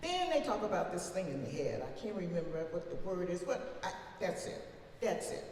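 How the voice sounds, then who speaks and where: A woman giving a dramatic, emphatic recitation of an old speech as a defiant, plain-spoken older orator, with long deliberate pauses, recorded from far off in a reverberant hall. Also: lowish voice, big pitch swings, a little mellow. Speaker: reader performing a historical speech. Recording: very echoey hall from far off, faint noise.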